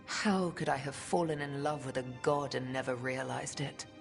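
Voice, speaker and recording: An actress playing a solemn, wistful elf woman with a measured, reflective delivery in a fantasy game. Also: a bass voice, vocal fry, faint music behind. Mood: heartbroken, wistful. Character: solemn, wistful female elf inquisitor, once betrayed in love. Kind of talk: dramatic fantasy game voice acting.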